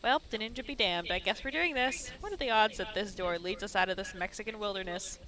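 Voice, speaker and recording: A woman's voice: mid-range voice, fairly quick, bright, forceful tone, a little hiss or hum, natural voice. Woman reading as Ashley, a sarcastic young American woman. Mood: sardonic. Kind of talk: dramatic read-aloud visual novel narration.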